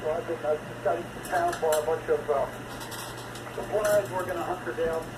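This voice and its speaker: Higher-pitched American man on noisy shortwave radio. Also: steady tone, moderate pace, roomy sound. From West Coast of the United States. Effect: heard over shortwave radio. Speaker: amateur radio operator. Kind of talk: casual ham radio conversation.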